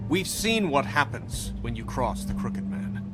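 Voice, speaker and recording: A man's voice: medium pitch, big pitch swings, a little slow, over loud music. A man playing a defensive, suspicious husband in a video game, with a sarcastic, confrontational manner. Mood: defensive, worried.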